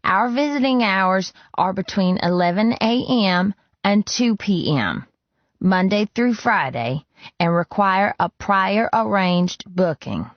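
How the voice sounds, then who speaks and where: A man voicing a brisk, formal woman who works a prison switchboard, with a recited phone manner. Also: high voice, animated, no echo, muffled recording, pitched above the performer's own voice, full character voice. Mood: flat, official.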